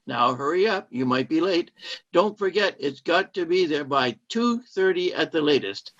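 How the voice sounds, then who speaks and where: A man's voice: fairly high pitch, animated, normal speed, a bit bright. Man acting secretive husband, swooping voice. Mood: insistent, urging. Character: controlling, secretive saboteur husband posing as kindly cinema owner. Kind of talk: British-set radio play acting. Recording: dull lo-fi streamed audio.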